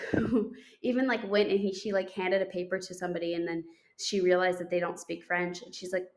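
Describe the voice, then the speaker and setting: A woman's voice: lowish voice, level tone, a little fast. Chatty young woman telling a story. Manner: chatty storytelling, many fillers and restarts. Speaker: podcast co-host. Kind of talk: casual chat.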